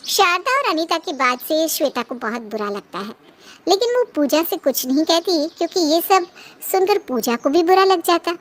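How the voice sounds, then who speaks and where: Casting: female storyteller for cartoon moral tales, extremely high voice, scripted narration. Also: very animated, normal speed, slight background noise.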